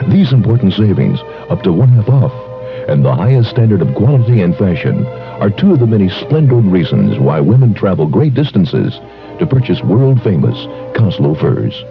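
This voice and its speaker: A gravelly American man announces a vintage radio commercial with formal, measured salesmanship. His low voice moves widely in pitch over music. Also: big pitch swings. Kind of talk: scripted 1970s radio advertising. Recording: dull 1970s radio broadcast, music behind.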